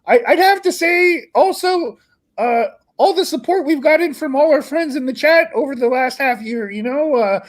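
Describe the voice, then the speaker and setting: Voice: sits high, animated, normal speed. A performer voicing a sentimental, hard-living, boastful frog puppet in rambling, improvised livestream chat. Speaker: co-host of an online puppet comedy show.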